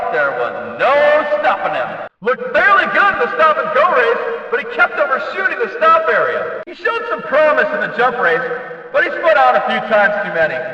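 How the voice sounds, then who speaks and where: A man playing an excitable, showy race announcer at a small-town demolition track in a video game, heard as if over a stadium PA. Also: very high voice. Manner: showy, emphatic announcer delivery. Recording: echoing, distant, muffled PA-like sound.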